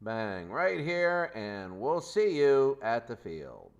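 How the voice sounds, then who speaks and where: A man's voice: medium pitch, very animated, slow pace, highs cut off, slight background noise. A man hosting a hobby football game, explaining the rules and then calling the plays in an old-time radio announcer style.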